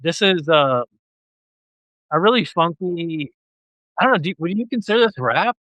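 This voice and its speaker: A man's voice: fairly high pitch, lively intonation, moderate pace, fairly bright tone, no echo, poor audio. A man hosting a podcast, chatting casually about music and often searching for words. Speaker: podcast host.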